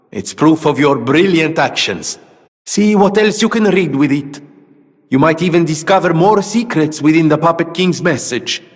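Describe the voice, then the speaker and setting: A male actor playing an eccentric, haunted gentleman inventor in a video game, speaking in a theatrical, eloquent and earnest way. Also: medium pitch, long reverb tail. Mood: hopeful, grateful. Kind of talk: dramatic video game character dialogue.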